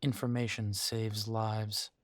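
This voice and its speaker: A low-pitched, protective werewolf leader, acted by a man for an intimate roleplay audio drama. He is earnest and weary but determined, speaking deliberately with pauses. His intonation stays quite level, and the sound is dry and close. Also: flat delivery, dry recording. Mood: firm, resolute.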